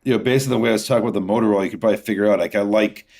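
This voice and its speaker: Lower-voiced man, casual interview guest. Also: fairly even intonation, rapid-fire, creaky, darkish tone. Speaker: podcast guest, whiskey distiller.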